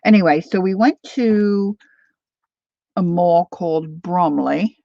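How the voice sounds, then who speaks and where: Slow, gravelly woman with a Philadelphia accent. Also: fairly low pitch, warm tone, no echo. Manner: chatty and rambling, reads out viewer comments. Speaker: craft channel host. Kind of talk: casual livestream craft chat. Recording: dull lo-fi livestream audio.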